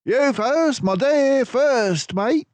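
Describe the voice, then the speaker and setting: A man voicing a confident, gentlemanly detective mouse in a children's storybook, theatrical and self-assured. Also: very high-pitched, animated, drawn-out words, no room sound, voice pushed up, heavily acted. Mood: jaunty, courteous. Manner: theatrical, self-assured, mannered turns of phrase.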